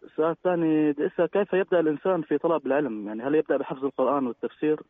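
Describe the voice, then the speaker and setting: Quick-talking man on a tinny phone line. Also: on the high side, steady tone. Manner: polite, hesitant, many fillers. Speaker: caller. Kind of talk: phone-in question. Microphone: telephone.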